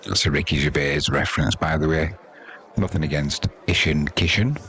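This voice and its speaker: A man's voice: a bass voice, normal speed, fairly bright tone, a faint crowd. A man with an English accent giving casual cricket commentary in a chatty, dry-humoured, blunt and slangy way. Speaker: live sports commentator and host.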